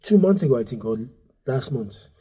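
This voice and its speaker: A man's voice: medium pitch, sing-song, moderate pace, mellow, slightly rough. A man in his thirties with a Nigerian accent, a comedian and club owner chatting loosely with many fillers and repeated words. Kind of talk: casual interview chat.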